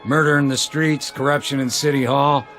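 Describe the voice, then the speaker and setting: A man's voice: mid-range voice, level tone, moderate pace, fairly bright tone, faint music behind, natural voice. An actor playing a cranky, blunt older American man in a video game. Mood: gruff, disapproving. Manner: blunt, grumbling. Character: cranky blunt older male city donor. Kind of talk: video game character acting.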